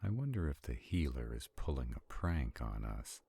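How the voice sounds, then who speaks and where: A man playing an arrogant, protective centuries-old vampire in a roleplay audio drama, haughty and brooding, with a gravelly, husky voice. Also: rumbling low, sing-song, normal speed, dark tone, full character voice. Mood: uneasy, wary.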